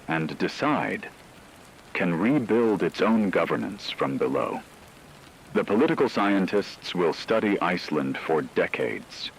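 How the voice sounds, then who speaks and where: A man playing a grave radio news broadcaster, reading a scripted bulletin in a solemn, measured and formal way. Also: low voice, slight background noise, rough recording. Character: grave male radio broadcaster reporting societal collapse.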